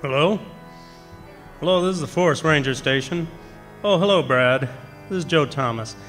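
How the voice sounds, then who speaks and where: A man's voice: fairly high pitch, a bit bright, soft background music, lightly acted. Man acting a folksy forest ranger, echoey hall. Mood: businesslike, uneasy. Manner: folksy, halting, colloquial. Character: folksy, baffled male forest ranger on a telephone. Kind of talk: 1940s-style radio play acting. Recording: echoey hall with background noise.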